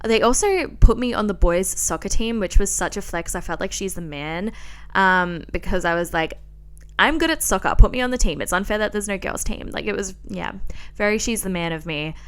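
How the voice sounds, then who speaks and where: Young adult female, Australian accent, crisp clear voice, casual confessional podcast storytelling, sweary and informal. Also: mid-range voice, expressive, moderate pace, a bit bright. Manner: chatty, informal, sweary, self-deprecating. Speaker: podcast host. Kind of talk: casual solo chat, personal storytelling.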